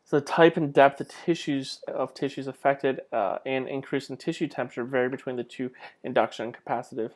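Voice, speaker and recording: A man's voice: mid-range voice, level tone, brisk, hardly any pauses. A man giving an informal slide lecture in a conversational way, with rhetorical questions and self-deprecating asides. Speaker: lecturer, clinical instructor.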